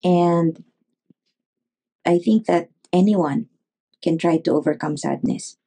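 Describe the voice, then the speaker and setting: A woman, a neurologist guest, giving advice in an interview, speaking in a measured way with many pauses and fillers. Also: on the low side, gravelly, warm tone, slightly rough.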